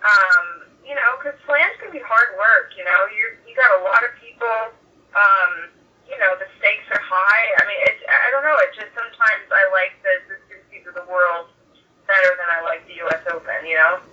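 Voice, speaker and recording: A woman chatting casually as a podcast guest, gushing and rambling excitably over a tinny remote call. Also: medium pitch, moderate pace, recorded from a distance. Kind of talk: casual chat.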